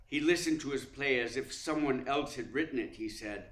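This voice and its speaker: An actor playing a suave, pompous theatre critic in a radio play, articulate and slyly needling. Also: male voice, mid-range voice, moderate pace, fairly bright tone, natural voice. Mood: grandiloquent. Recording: roomy, echoing sound.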